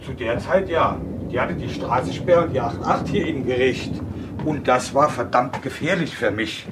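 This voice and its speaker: A man's voice: mid-range voice, normal speed, natural voice. An actor playing an older village man, an earthy former deserter recounting his memories colloquially in a naturalistic radio drama. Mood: matter-of-fact recollection. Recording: noisy location-style radio recording.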